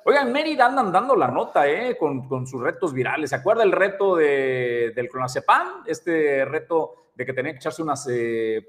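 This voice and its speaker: A man's voice: medium pitch, fairly bright tone. Male news anchor with echoing reverb. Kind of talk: news commentary.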